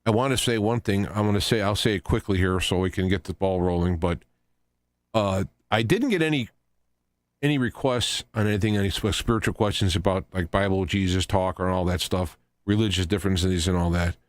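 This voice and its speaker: A man hosting a radio talk show, giving an unscripted commentary in a halting, rambling way, often repeating and restarting his phrases. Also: sits low, no room sound, studio quality. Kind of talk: unscripted news commentary monologue.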